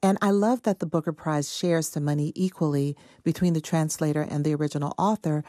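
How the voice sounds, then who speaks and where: Mellow-voiced woman novelist, dry studio. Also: low-pitched, fairly even intonation, fairly quick, no echo. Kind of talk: conversational interview answer.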